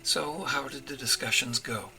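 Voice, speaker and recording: A man plays a bold robin wife from a storybook, sassy and quick to take offence. The delivery is wry and very bright, with some creak. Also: mid-range voice, soft background music, rough recording.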